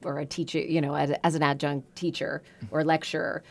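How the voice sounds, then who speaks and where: A woman co-host on a radio talk show with a very low voice. She chats easily and repeats words as she works toward her question. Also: little pitch movement, brisk, gravelly. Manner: conversational, repeats words while thinking.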